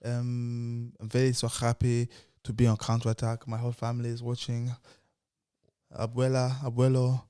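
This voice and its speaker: A man's voice: mid-range voice, monotone, normal speed, warm tone, clean tone, no echo, clean studio sound, close to an everyday voice. A man briefly putting on a hammy Spanish-tinged voice as an overjoyed guest. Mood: gushing.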